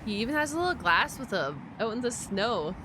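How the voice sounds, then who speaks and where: Animated woman streamer chatting casually. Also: on the high side, brisk, background noise. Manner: excitable, exclamatory, slangy and sweary. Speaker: streamer reacting to a video. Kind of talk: casual livestream reaction chat.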